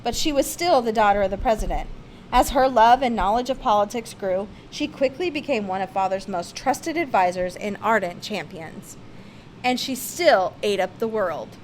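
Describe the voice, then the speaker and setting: A woman's voice: on the high side, lively intonation, normal speed, a little hiss or hum, poor audio. A woman reads a children's picture-book biography aloud with theatrical, emphatic expression. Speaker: storybook reader.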